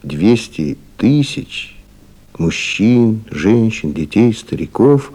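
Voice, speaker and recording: A man narrating a literary radio play in a reflective, measured manner. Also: fairly low pitch, very animated, warm tone.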